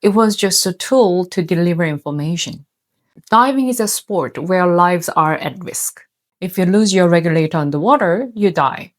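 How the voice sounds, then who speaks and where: Korean-accented female host, 30s, lowish pitch, earnest scripted video-essay read. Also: animated, normal speed. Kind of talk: scripted narration.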